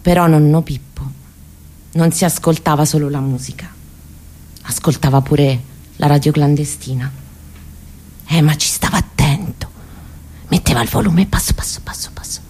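A woman with a Roman accent performing a dramatic personal monologue, expressive and intimate, with deliberate phrasing. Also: sits low, breathy edge. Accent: central Italian, Roman. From near Rome, Italy. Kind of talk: dramatic autobiographical storytelling monologue.

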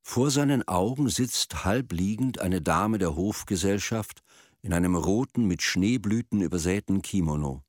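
Low-voiced man narrating a radio drama. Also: expressive, moderate pace, a little mellow, no room sound. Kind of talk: scripted radio drama narration of a Japanese folk tale. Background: plucked string music.

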